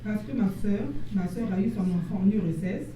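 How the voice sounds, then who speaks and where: A woman asks a question from the audience, pausing and feeling for her words. Her voice is very dark and mellow. It is heard from far off in a strongly echoing room with faint noise. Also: mid-range voice. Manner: hesitant, searching for words. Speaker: audience member asking a question. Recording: very echoey, distant, faint noise.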